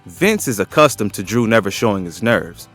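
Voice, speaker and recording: A man's voice: on the low side, lively intonation, normal speed, a bit bright, soft background music. A man giving scripted, descriptive narration as the narrator of an audio drama.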